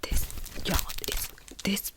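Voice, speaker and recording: A woman playing an embarrassed, indignant scam victim in an ASMR comedy, halting and repetitive with folksy phrasing. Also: fairly bright tone, dry recording. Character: embarrassed, indignant girl complaining about ruined wig. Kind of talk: whispered ASMR roleplay.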